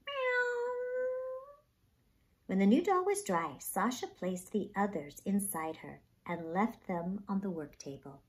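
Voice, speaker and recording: A woman reading a children's story aloud with expressive, dramatic, emphatic narration. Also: fairly low pitch, normal speed, hardly any pauses.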